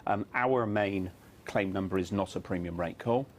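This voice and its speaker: A British man answering as an executive in a news interview, measured and firmly reassuring. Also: low voice, a little hiss or hum. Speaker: insurance executive, interview guest.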